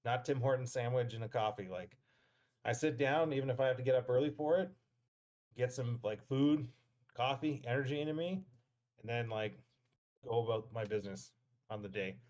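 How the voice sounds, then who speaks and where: A man in his thirties talks casually on a podcast panel. His pitch is average for a man, and he speaks in an informal, conversational way. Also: moderate pace, darkish tone. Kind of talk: casual chat.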